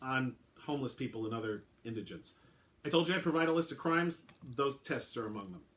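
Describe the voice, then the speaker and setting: A man's voice: medium pitch, lively intonation, brisk, a bit bright, close to an everyday voice. A man voicing a middle-aged male clone henchman, earnest and rattled, who keeps correcting himself as he confesses in a story reading. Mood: confessing. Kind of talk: dramatic reading of a short story.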